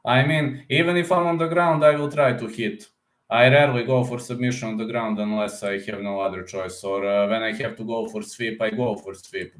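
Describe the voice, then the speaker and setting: A man chatting casually on a live stream, rambling and often repeating words as he restarts sentences. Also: medium pitch. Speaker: MMA fighter and betting-picks streamer. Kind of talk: casual live-stream chat reading comments. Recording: echoey room.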